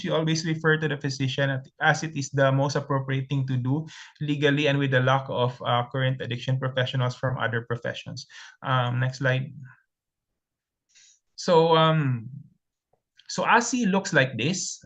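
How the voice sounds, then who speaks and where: A man's voice: fairly high pitch, a bit bright. A man from the Philippines with a Filipino accent, a native Tagalog speaker, presenting slides in a webinar in a methodical way with frequent ums and restarts.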